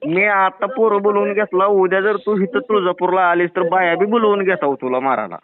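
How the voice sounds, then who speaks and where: A man's voice: sits high, a little fast, crowd noise. A man with a rural Maharashtrian Marathi accent, speaking as a taunting, threatening prank caller in an informal phone argument. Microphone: phone line. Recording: tinny narrowband phone call recording.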